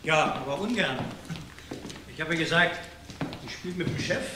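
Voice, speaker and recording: Actor as higher-pitched, affable employee. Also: male voice, animated, moderate pace, close to an everyday voice. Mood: cheerful. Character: affable employee, deferential to his boss. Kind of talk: German crime radio drama acting. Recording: echoey sound with background noise.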